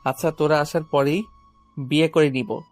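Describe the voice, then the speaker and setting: A man plays a calm young runaway groom in a romantic audio drama. He sounds reassuring and responsible, with soft music underneath. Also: a little high, moderate pace, close to an everyday voice.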